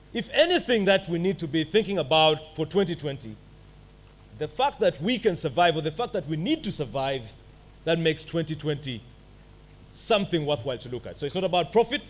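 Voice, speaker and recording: A man's voice: on the high side, animated, moderate pace. A man giving a commencement address at a graduation ceremony, joking with the audience between formal greetings. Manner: jovial, playful asides to the audience. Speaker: commencement speaker. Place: ceremony hall.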